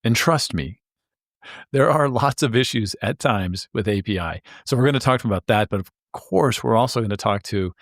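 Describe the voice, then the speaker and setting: A man's voice: lowish voice, lively intonation, normal speed, no echo, clean studio sound. A man hosting an interview podcast, upbeat and enthusiastic in a casual chat, often restarting his words. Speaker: podcast host.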